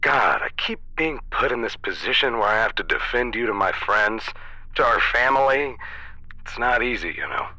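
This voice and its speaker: A pushy, belittling father in an audio drama, who lectures his grown child and brushes off every excuse. His voice is sharp and forceful, with a middling pitch. The sound is dry and close to the mic. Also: expressive, moderate pace, no room sound, rough recording. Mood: exasperated. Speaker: protagonist's dad.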